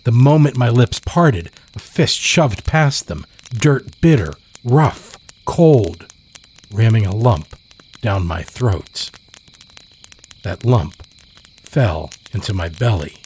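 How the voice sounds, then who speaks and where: A man reads a scary story in a dramatic, deliberate style. His voice sits a little low and he takes his time, leaving heavy pauses. Faint night insects sound behind him. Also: animated, darkish tone, a little hiss or hum, poor audio. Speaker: horror story narrator. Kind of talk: dramatic scripted narration.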